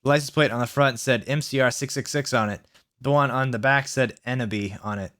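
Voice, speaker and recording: A man hosting a comic read-aloud of fan fiction, sardonic, with mocking asides and deliberately kept mistakes. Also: medium pitch, fairly bright tone. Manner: deliberately reads out misspellings, sardonic.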